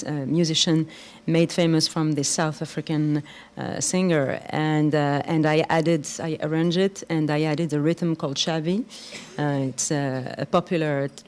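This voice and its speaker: Low-voiced woman. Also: darkish tone. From Morocco, raised in France. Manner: halting, many fillers, non-native phrasing. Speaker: guest singer. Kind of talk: live studio interview.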